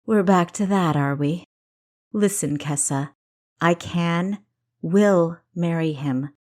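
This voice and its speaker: A woman's voice: lowish voice, darkish tone. Woman voicing a weary, resigned older sister. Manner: measured, resigned.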